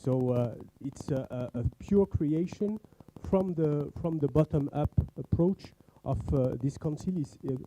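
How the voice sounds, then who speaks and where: Male moderator at a forum, slow and mellow, somewhat high voice, faint background noise. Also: low-quality recording. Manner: deliberate, repeats words while explaining. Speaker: session moderator. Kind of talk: moderating a youth workshop.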